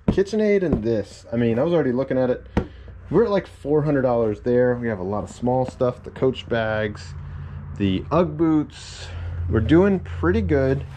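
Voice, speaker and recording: A man picks through a storage unit and talks slowly, half to himself, perking up when he finds something good. The recording comes from a camera on his head outdoors. Loud noise sits under his voice. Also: medium pitch. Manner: unhurried, muttering to himself, upbeat when finding items. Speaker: reseller sorting a storage unit. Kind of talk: casual running commentary while digging. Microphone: head-mounted camera, point of view.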